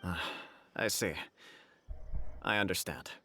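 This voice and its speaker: An actor playing a courteous, dignified shogunate official in a video game, speaking in formal, stately, polite language. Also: male voice, deep voice, slow pace, sharp tone, slight background noise, heavily acted. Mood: gracious. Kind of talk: video game character acting.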